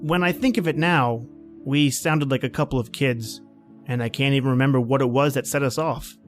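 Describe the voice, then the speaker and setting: A man's voice: medium pitch, brisk, soft background music, lightly acted. An actor playing an edgy, short-tempered man at a remote outpost in an old-time radio drama, with terse, reflective delivery. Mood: somber, reflective. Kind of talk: old-time radio drama acting and first-person narration.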